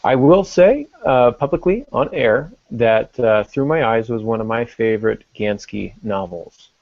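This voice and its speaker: A man's voice: medium pitch, animated, unhurried, vocal fry, no echo. A man hosting a podcast in an informal, conversational way. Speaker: podcast host. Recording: dull lo-fi audio.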